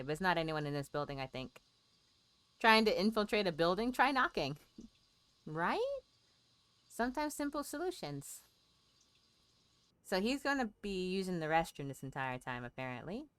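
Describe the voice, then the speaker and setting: Female game streamer, lowish clear voice, dry close sound, chatty running commentary. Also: expressive, normal speed, pauses often, a bit bright, no echo. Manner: chatty, thinking aloud.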